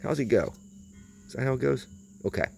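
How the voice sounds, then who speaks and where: A man's voice: mid-range voice, animated, fairly quick, creaky, a little mellow, faint music behind. A man giving unscripted hands-on commentary as he inspects something, rambling hesitantly and muttering to himself. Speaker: vintage audio enthusiast presenting a video.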